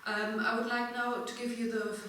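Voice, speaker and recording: A woman's voice: a little high, level tone, moderate pace, a bit bright. A woman moderates a panel and hands the floor to the audience, speaking hesitantly, with fillers. She is far from the mic in a large conference room, so the sound echoes heavily over faint background noise.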